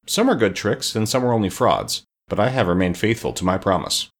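A man's voice: fairly low pitch, sing-song, fairly quick. Storybook old witch, male reader: sullen, sly, grudging, with a swooping delivery. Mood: reluctant confession. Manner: grudging, evasive. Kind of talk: storybook character voice.

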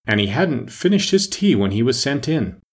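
A man's voice: medium pitch, big pitch swings, moderate pace. A man voicing an eager, fussy storybook messenger with a confiding, impatient manner.

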